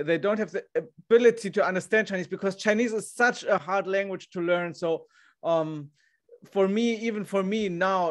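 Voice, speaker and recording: A man in his thirties with a German accent, answering audience questions in an online talk from his home study on a desk microphone, candid and chatty with many restarts. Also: on the high side. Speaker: guest speaker. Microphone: desk microphone on a video call.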